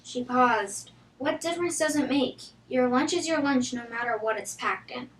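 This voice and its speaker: A woman's voice: high voice, moderate pace. A woman reading a children's story aloud from a book. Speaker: storybook reader. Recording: echoey room, distant from the microphone.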